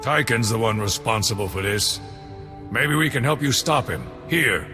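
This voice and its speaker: A man's voice: mid-range voice, expressive, normal speed, lightly acted. A man playing a blunt, grateful security chief in a game, plain-spoken in manner. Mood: businesslike. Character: gruff but grateful male security chief. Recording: long reverb, faint music.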